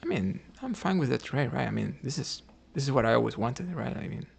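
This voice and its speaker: Gravelly Cuban man thinking aloud. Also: mid-range voice, animated, a little fast, a little hiss or hum. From Cuba. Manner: thinks aloud, often tags "right?"; chats with viewers between moves. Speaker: chess streamer. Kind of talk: live chess commentary while playing.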